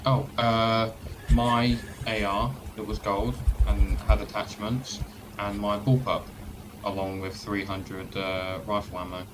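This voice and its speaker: Low-ish male witness voice, hesitant, halting answers, noisy game chat behind. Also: little pitch movement. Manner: hesitant, many ums and restarts. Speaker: witness in a role-play court case. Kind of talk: casual role-play testimony.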